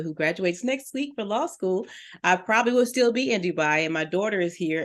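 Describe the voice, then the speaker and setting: A middle-aged woman, a business coach on a talk show, giving direct and encouraging advice to listeners. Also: lowish voice. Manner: earnest, direct and encouraging, addresses listeners as "you".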